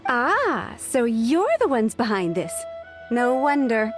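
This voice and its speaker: A woman's voice: on the high side, big pitch swings, slow pace, music in the background. An actress playing a poised young female secretary in a video game, speaking formally and precisely. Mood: polite, businesslike.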